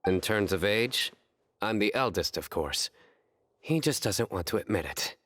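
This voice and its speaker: An actor playing a stoic, serious young guardian in a video game, terse and deadpan with a stubborn streak. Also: male voice, fairly low pitch, measured pace, no echo.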